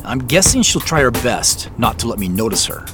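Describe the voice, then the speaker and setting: A man coordinating a surveillance tail in a naturalistic audio drama. He is wary and concerned, reacting conversationally with lively swings in pitch. Music and car noise run underneath. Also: brisk. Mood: wary, focused.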